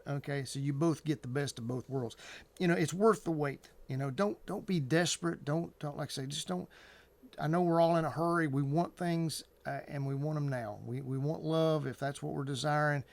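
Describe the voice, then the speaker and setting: A man talking casually and earnestly to viewers as an advice host, in a halting, repetitive, colloquial way. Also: on the high side, creaky.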